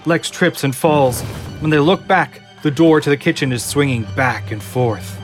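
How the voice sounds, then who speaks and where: A man reading scripted narration for an audio drama in a measured, often slow and hushed way. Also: on the high side. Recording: clear music underneath.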